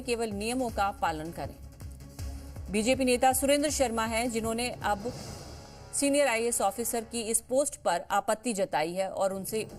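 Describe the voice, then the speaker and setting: A woman anchoring television news, restating her points with emphasis as she reports. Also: on the high side.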